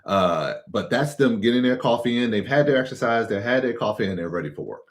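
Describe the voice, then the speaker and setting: A man's voice: medium pitch, animated, a little fast. An American man co-hosting a live travel stream, casually explaining what local life is like.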